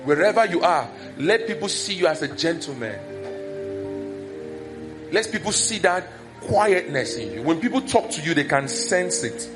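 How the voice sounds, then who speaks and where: A male preacher speaks in a relaxed, conversational way, mixing teaching with personal anecdotes. His voice sits fairly high for a man. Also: normal speed, over music. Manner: conversational, telling personal stories. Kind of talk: sermon.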